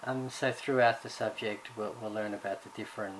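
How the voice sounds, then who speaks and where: A man's voice: on the low side, steady tone, a little fast, poor audio. Hesitant man introducing a university subject. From Ireland, raised in Australia. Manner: hesitant, many fillers and restarts. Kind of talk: recorded course introduction. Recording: background noise.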